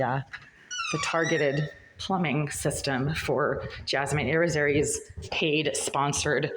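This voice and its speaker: A woman with a raspy voice speaking in a rambling, insistent, accusatory monologue to a phone in her apartment bathroom. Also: sits low, noisy background. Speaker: vlogger.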